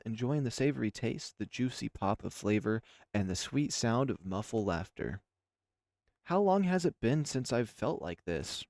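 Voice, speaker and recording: A man's voice: mid-range voice, a little mellow, no echo, studio quality. Man narrating a story over prominent music. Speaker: narrator and reader of a visual novel. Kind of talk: expressive first-person story narration.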